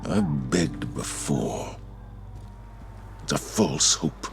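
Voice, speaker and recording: A man's voice: noisy background, heavily acted. An actor playing a weary, solemn older male commander in grief, in a dramatic game cutscene monologue. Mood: despairing. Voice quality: gravelly, very deep. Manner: slow, solemn, halting.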